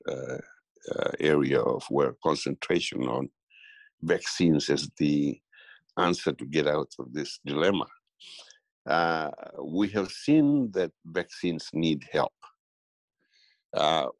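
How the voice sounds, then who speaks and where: A middle-aged man from Tanzania giving a political interview, speaking haltingly with many fillers and restarts. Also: a bass voice, animated, vocal fry, a little mellow, no echo. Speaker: guest commentator.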